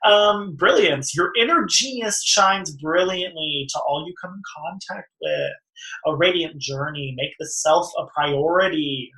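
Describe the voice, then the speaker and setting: Slangy, animated young woman reading tarot. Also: low voice, unhurried, reverberant, poor audio. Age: young adult. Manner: animated, slangy, teasing, emphatic. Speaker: host and tarot reader. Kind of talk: casual chatty tarot reading.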